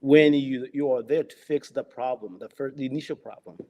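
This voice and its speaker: A man's voice: medium pitch, darkish tone. A man who speaks English as a second language, a police officer telling his experiences in a conversational interview, often restarting and filling with "you know". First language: not English. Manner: halting, many restarts and "you know" fillers.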